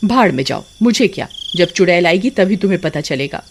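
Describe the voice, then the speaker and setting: A woman's voice: on the low side, animated, fairly quick, gravelly, darkish tone, a little hiss or hum. Woman as a nagging cartoon village wife. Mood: exasperated. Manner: nagging, exasperated. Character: scolding, exasperated village wife of a drunkard.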